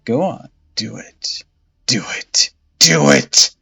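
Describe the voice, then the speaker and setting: A man voicing a vengeful undead female saint who taunts her killer slowly and coldly. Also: medium pitch, vocal fry, bright, forceful tone, breathy edge, in a whisper, voice pushed up, exaggerated voice. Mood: mocking. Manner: slow, cold, mocking, theatrical. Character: vengeful undead woman saint, cold and mocking. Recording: dull lo-fi audio with faint music.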